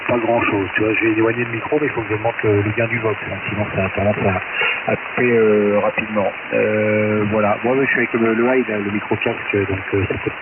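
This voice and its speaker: A man's voice: on the low side, little pitch movement, fairly quick. Hissy, distant man on shortwave radio. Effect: shortwave radio transmission. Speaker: amateur radio operator. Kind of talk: casual ham radio chat. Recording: narrow-band shortwave radio, loud hiss, distant.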